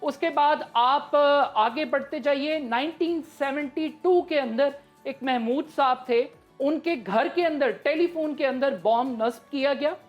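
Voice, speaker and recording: A woman with a Pakistani accent speaks in a fairly high voice. She delivers live political commentary as an analyst, explaining each point with emphasis, with music underneath. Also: a little slow, hardly any pauses, lo-fi sound. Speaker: analyst on a current affairs show.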